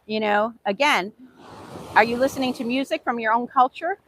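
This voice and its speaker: Casting: woman, fairly high voice, native Hungarian speaker from the US, earnest informal motivational teacher, slightly noisy recording. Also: moderate pace, a bit bright. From United States, Hungarian immigrant family. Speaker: English teacher giving a motivational self-improvement talk. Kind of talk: informal motivational talk with rhetorical questions.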